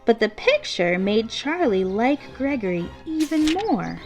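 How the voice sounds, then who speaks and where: A woman reading a children's picture book aloud expressively, with measured, deliberate phrasing and emphasis on key words. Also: a little high, very animated. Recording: classical symphony music playing behind. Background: orchestral classical music.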